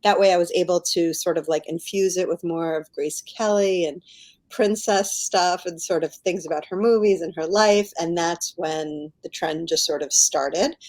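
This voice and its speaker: A woman's voice: fairly low pitch, darkish tone. A middle-aged woman giving casual, enthusiastic and effusive answers as an author being interviewed. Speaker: author guest.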